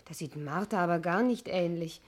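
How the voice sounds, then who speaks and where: An actress in a 1960s German radio play, playing a suspicious, insistent niece with a sceptical, pointed way of questioning. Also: female voice, fairly low pitch, normal speed, lightly acted. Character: suspicious, insistent adopted niece of a missing aunt.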